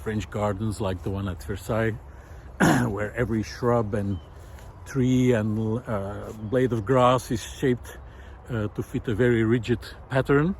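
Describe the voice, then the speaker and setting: A man speaking in a reflective, unscripted explainer style, hesitant and thoughtful, often pausing mid-sentence as he presents. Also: fairly low pitch, fairly even intonation, a little mellow. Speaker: presenter of a nature and philosophy video series. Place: outdoors in a park. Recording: outdoor recording with clear background noise.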